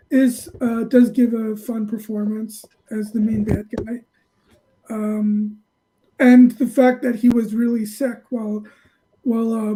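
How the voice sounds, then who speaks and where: Woman, fairly high pitch, soft dark timbre, casual panelist, measured. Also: poor audio. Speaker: film panelist. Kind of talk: casual chat.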